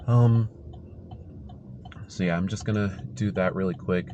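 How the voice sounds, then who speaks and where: A man's voice: deep voice, a little slow, mellow, dull audio. A young man with a slightly congested voice, chatting casually to the camera as a vlogger inside a car. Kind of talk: casual vlog chat to camera. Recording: noisy car interior with engine hum. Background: car and road noise.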